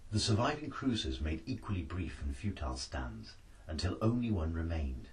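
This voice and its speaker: A man's voice: deep voice, sing-song, moderate pace, distant mic, a little hiss or hum. A man reading an adventure story aloud as a dramatic scripted narration. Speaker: author-narrator reading his book.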